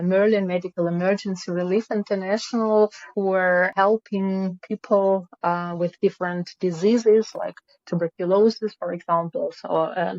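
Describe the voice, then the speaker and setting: A woman, speaking as an interview guest in a halting way with many fillers. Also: lowish voice, darkish tone, no echo. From Russia. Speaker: guest, music founder. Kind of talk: casual interview. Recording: dull lo-fi call audio.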